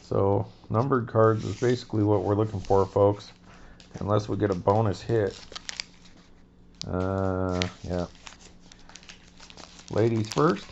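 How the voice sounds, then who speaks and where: A man's voice: on the low side, fairly even intonation, slow, creaky, long pauses, a little mellow, slight background noise. A chatty man hosting a card-opening video, talking to viewers with a stuffy nose. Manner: chatty, addresses viewers as folks. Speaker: card-opening vlog host. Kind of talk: casual chat. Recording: dull lo-fi recording.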